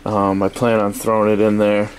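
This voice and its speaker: A man giving a casual, halting, offhand unboxing review at a desk in a small indoor workspace. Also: on the low side. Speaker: product reviewer. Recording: steady noisy room ambience.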